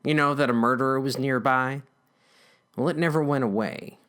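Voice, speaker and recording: A man's voice: mid-range voice, fairly even intonation, normal speed, fairly bright tone, smooth, voice pushed down, lightly acted. Man playing a theatrical, menacing bully big brother. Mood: brooding, conspiratorial. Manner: theatrical, villainous, conspiratorial. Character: menacing, smug bully older brother telling dark hunches.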